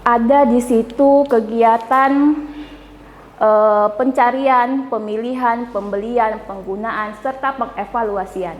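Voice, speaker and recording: A woman's voice: a little high, steady tone, slow, slight background noise. Chatty female lecturer, echoey classroom. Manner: chatty, often asks "ya kan?" for agreement. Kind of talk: classroom lecture with questions to students. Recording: echoey classroom with long reverb.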